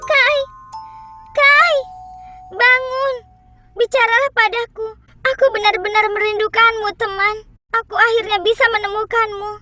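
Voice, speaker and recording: High-pitched earnest young girl heroine. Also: steady tone, a little slow, long pauses, loud music behind the voice. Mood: anxious, pleading, then relieved, urgent. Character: Gerda, brave tender-hearted young girl heroine. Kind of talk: children's cartoon fairy tale dub.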